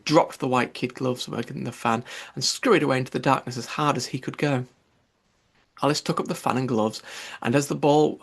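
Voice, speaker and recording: A man reading a storybook aloud as an audiobook narrator, with expressive delivery. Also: medium pitch, vocal fry.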